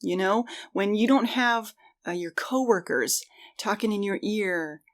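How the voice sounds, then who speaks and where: A woman's voice: medium pitch, unhurried. A woman co-hosting a conversational podcast, speaking in an earnest, reflective way. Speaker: podcast co-host.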